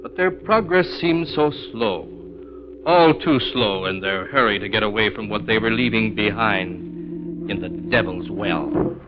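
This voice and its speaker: An actor playing an ominous storyteller, narrating a 1940s radio terror tale solemnly and dramatically. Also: male voice, fairly low pitch, unhurried, noisy background, natural voice. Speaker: radio horror series narrator. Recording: muffled 1940s radio recording with music.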